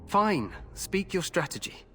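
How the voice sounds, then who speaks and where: A man's voice: high-pitched, very animated, a little slow, fairly bright tone, heavily acted. An actor playing an eager, glory-hungry young king in a video game, speaking in a regal, confident, commanding manner. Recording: long hall reverb with game music behind.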